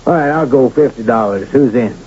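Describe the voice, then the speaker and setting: A man's voice: mid-range voice, brisk, a little mellow, lightly acted. Man acting a brash, crooked Western card dealer. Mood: brash, confident. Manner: brash, taunting, menacing. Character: domineering crooked card dealer who runs a Western town. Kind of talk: 1970s radio drama acting. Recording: dull, noisy 1970s radio recording.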